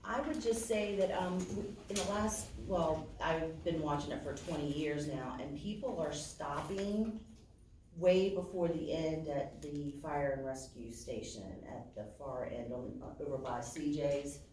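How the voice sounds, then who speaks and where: A woman resident speaks up at a community meeting, rambling in a chatty way. Her low voice is distant. Heavy echo and background noise surround her. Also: unhurried, a little mellow. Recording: very echoey hall, far from mic, noisy.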